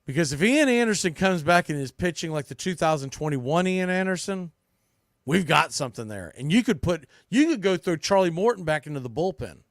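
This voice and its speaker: A man's voice: on the high side, expressive, a little fast, no echo. Conversational male baseball podcaster, close dry sound. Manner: conversational, thinking aloud with self-corrections. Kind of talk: sports preview commentary, off the cuff.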